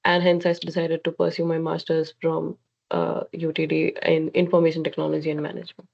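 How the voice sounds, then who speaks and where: Grad student woman, flat gravelly voice, muffled call sound. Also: sits low, fairly quick. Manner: thoughtful, with hesitations. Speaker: graduate student panelist. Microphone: video call.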